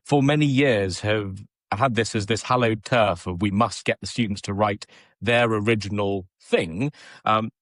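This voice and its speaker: A man's voice: fairly low pitch, unhurried, a bit bright, no room sound, clean studio sound. A man hosting a podcast interview, talking conversationally and thinking aloud with restarts. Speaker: podcast host.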